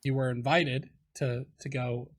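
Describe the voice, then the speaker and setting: A man with a husky, slightly breathy voice hosts a relaxed podcast chat. He speaks at a middling pitch, easygoing, and nods along with quick affirmations. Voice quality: lower voice. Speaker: podcast host. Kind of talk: casual podcast interview.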